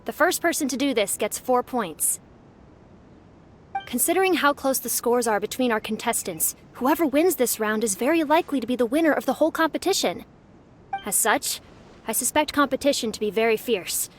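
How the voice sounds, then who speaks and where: A woman's voice: a little high, lively intonation, normal speed, a little hiss or hum, close to an everyday voice. A woman playing an earnest young competition organizer in a video game, explaining things in an urgent, serious way. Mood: serious, explanatory.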